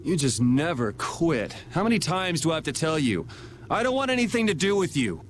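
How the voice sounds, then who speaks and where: A man's voice: fairly high pitch, very animated, fairly quick, slight background noise. An actor playing a blunt, impatient young swordsman hero in an anime video game, speaking in a cool, sardonic, curt manner. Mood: angry.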